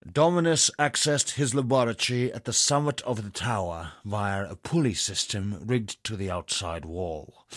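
An actor voicing a male poet ally in a dark fantasy game, speaking with measured, solemn gravity. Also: fairly low pitch, no room sound, clean studio sound. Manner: measured, grave, solemn delivery. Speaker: game character. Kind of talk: studio game voice acting. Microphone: close studio mic.

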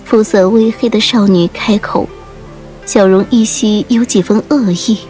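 Female audiobook narrator, slow pace, medium pitch, measured literary storytelling, faint music behind. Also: fairly even intonation, dark tone. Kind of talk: dramatic scripted storytelling narration.

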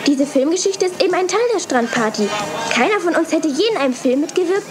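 Bright teenage girl, very high voice, off-mic, crowd around. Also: expressive, fast talker, recorded from a distance, music in the background. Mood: encouraging. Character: cheerful teenage girl, younger sister.

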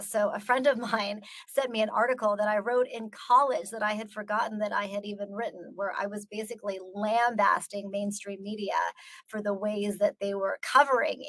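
Casting: middle-aged American woman, guest speaker on a video call, earnest, measured and reflective, mid-pitched voice. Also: creaky, fairly bright tone, poor audio. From United States. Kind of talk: earnest talk answering audience questions.

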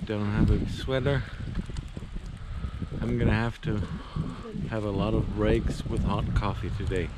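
A man's voice: fairly low pitch, steady tone, measured pace, long pauses, heavy background noise. A man in his thirties, filming himself at a campsite and chatting plainly to the camera about the weather and his plans. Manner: plain, matter-of-fact commentary to camera. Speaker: vlogger. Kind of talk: casual camping vlog. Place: campsite, in a tent and out in a snowy field. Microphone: handheld action camera at arm's length.

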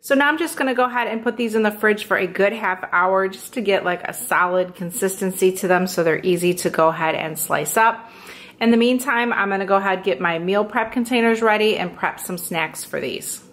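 Easygoing mom talking thru kitchen prep, says "go ahead" a lot, light room hiss. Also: female voice, mid-range voice, brisk. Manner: chatty, relaxed, fillers like "go ahead" and "like". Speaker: home cook, meal prep channel host. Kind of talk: casual cooking demonstration voice-over.

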